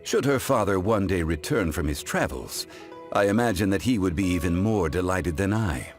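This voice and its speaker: A man playing an ancient, calm, dignified gentleman in a video game, speaking in a formal, measured, reflective way. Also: deep voice, soft background music. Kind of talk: scripted video game character voice lines.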